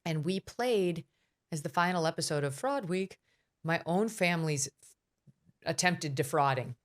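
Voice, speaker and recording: Brief: woman host, middle-aged, low pitch, quick storyteller. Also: lively intonation. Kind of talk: podcast interview.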